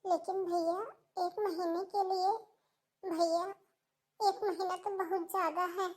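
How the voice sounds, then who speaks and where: Woman acting meek young sister in a cartoon story, very high voice, polite, pleading. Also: flat delivery, slow pace, darkish tone. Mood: worried, polite. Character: meek, hard-working young widowed sister.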